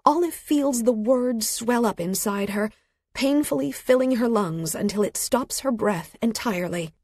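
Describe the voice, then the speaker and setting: A woman reading literary fiction as an audiobook narrator, with a measured, expressive delivery and a slightly husky voice. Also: fairly high pitch, no room sound, studio quality. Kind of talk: scripted literary fiction narration, present tense. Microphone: close studio microphone.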